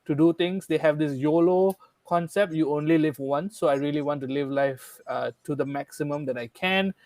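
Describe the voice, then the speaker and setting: A man talks through his points in an earnest, explanatory way, often checking in with his listeners. His voice sits a bit high. The recording is dry and close. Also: normal speed, a little mellow, no room sound. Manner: earnest, explanatory, frequent "right?" tag questions. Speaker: guest speaker. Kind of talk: conversational podcast discussion.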